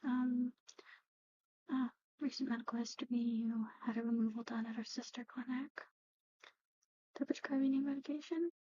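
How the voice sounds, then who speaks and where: A woman doing an ASMR roleplay, speaking softly and in whispers, with a hesitant, halting, gently conversational manner. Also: fairly high pitch, steady tone, dull audio.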